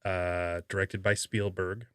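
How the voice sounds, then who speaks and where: A man hosting a podcast, chatting casually and haltingly as he thinks aloud. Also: low voice, little pitch movement, a bit bright, clean tone, no echo, studio quality. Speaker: podcast host. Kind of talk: casual conversational podcast talk.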